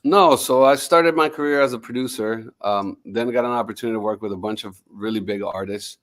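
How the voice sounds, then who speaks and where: Low-voiced confident businessman. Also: fairly quick, a bit bright. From Miami, United States. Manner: fluent, confident, with frequent restarts. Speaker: music executive guest. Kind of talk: podcast interview.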